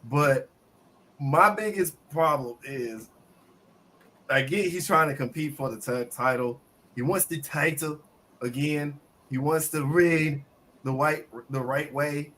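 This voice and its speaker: Man, slightly raspy, bright tone, higher voice, animated casual wrestling talk. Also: slow pace, a little hiss or hum. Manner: animated, repeats words when excited. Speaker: podcast co-host. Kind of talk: casual wrestling review chat.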